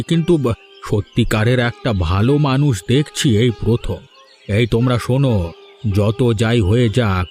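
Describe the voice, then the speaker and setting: A man's voice: mid-range voice, darkish tone, lightly acted. Man playing a firm older cartoon village elder. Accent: West Bengal Bengali. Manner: admonishing, authoritative. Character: stern but fair older village uncle and headman. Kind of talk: cartoon voice acting. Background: music.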